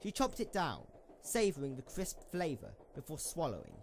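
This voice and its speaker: A man's voice: a little high, huge pitch swings, normal speed. A man narrating a scripted story as part of an amateur dramatic reading. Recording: slightly noisy amateur microphone recording.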